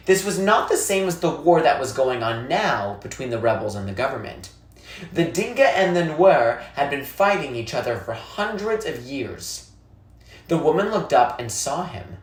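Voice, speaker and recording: A narrator reading a children's novel aloud in a measured, expressive storytelling way. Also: mid-range voice. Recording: echoey, roomy sound.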